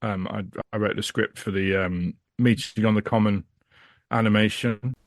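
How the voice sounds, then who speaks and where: A man's voice: on the low side, steady tone, normal speed, no room sound, clean studio sound. A man chatting casually and bluntly as an opinionated podcast guest. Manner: blunt, sweary.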